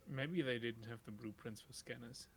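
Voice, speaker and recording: A man's voice: medium pitch, normal speed. A man co-commentating on a game stream in casual chat, with a dry, teasing manner. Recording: faint background noise.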